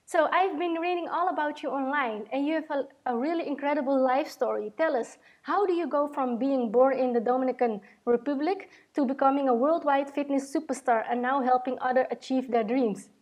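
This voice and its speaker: A woman's voice: high voice, brisk, hardly any pauses, darkish tone. A woman hosting a podcast, asking polite, upbeat interview questions. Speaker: podcast host.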